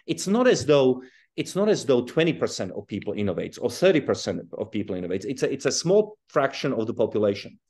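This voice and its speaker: A man from Czechoslovakia and South Africa, a guest author, explaining his ideas in a relaxed interview over a video call, often restarting phrases. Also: mid-range voice. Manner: thoughtful, restarts phrases, chuckles while explaining.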